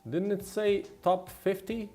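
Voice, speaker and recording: A man chatting casually as he works on a livestream, rambling and thinking out loud. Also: high voice, level tone, a little slow. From Slovenia. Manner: rambling, thinking aloud, mildly profane. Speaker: game developer and streamer. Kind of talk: casual livestream chat while working. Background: background music.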